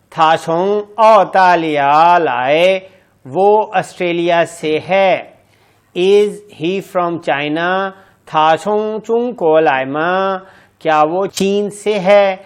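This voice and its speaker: Slow, deliberate male teacher. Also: high-pitched, smooth. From Pakistan. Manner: reads each phrase in English, then Chinese, then Urdu. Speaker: language teacher. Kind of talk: reading a language lesson.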